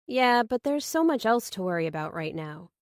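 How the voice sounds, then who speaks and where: A woman's voice: on the high side, very animated, normal speed, no room sound, pitched above the performer's own voice. A reserved young heroine of a thriller audiobook, played by a woman narrator. She answers in an understated, matter-of-fact way, a little hesitant. The recording is dry. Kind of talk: audiobook character dialogue.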